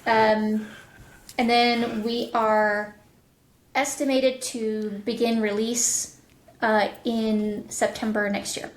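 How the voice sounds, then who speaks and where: A woman's voice: on the high side, flat delivery, slow pace, roomy sound, a little hiss or hum, rough recording. A woman chatting casually in an interview as a voice director of audio productions.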